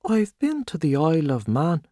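A man voicing a quiet, timid small man who gives short, hesitant answers. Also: a little high, huge pitch swings, moderate pace, no room sound, clean studio sound, pitched above the performer's own voice. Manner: soft, hesitant, brief replies.

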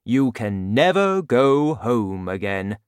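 A man voicing a huge, gentle giant in a storybook reading, speaking slowly and loudly. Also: sing-song, smooth, dry recording, clean studio sound. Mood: booming. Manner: slow, booming, deliberate.

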